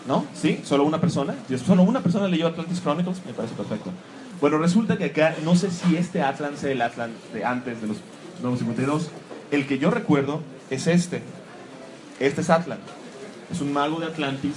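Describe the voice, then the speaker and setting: Guy talking comics to a crowd, kinda high voice, lots of jokes at himself, noisy room. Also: brisk. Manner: joking, self-mocking, animated asides. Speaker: comics talk presenter. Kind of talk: live comics talk to an audience. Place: room with a live audience. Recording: clear background noise.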